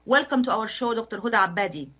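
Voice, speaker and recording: A woman radio host with a fairly high voice speaks in a polished, formal way. She reads a prepared introduction and then puts careful questions to her guest in a studio. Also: expressive, quick pace, bright, forceful tone. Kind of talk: formal radio interview hosting.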